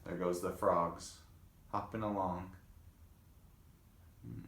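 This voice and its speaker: A man reading a picture book aloud to children, with gentle, chatty asides to young listeners. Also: sits low, flat delivery, slow, reverberant. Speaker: read-aloud host.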